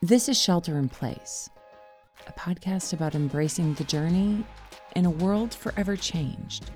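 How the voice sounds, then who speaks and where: A woman in her forties, hosting a podcast and narrating a personal story in a calm, reflective way. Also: on the low side, a little slow, faint music behind. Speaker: podcast host.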